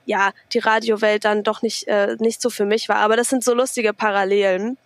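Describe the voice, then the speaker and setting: A woman's voice: mid-range voice, flat delivery, brisk, no room sound. A woman hosting a casual podcast interview, a little nasal from a cold, chatty and enthusiastic with many fillers. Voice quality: slightly nasal. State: has a cold, a bit nasal. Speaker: podcast host.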